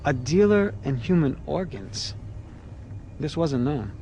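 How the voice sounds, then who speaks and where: A gravelly, wry detective. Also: male voice, a little high, sing-song, slow pace, dark tone, full character voice. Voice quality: wry, sardonic. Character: cynical male police detective, wry.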